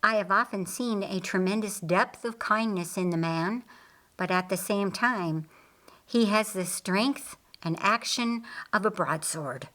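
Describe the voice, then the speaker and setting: A woman voicing a kindly, earnest male master of a household in an audiobook, speaking in a measured, formal way. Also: medium pitch, bright, forceful tone.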